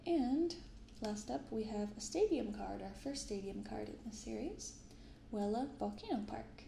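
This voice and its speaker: A young woman speaking softly in an ASMR style, unhurried as she comments and reads aloud. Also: fairly high pitch, animated, vocal fry, echoey room, a little hiss or hum. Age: young adult. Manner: unhurried, hushed commentary and reading from cards. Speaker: host of a card-opening channel. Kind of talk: soft-spoken ASMR. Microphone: binaural microphone.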